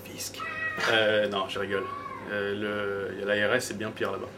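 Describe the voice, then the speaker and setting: A man's voice: lowish voice, completely flat delivery, moderate pace, over music. A French man in his twenties, a DJ guest chatting casually and joking, with lots of hesitant fillers. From France. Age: 20s. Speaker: DJ, interview guest. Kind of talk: casual interview chat. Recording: distant mic, noisy background.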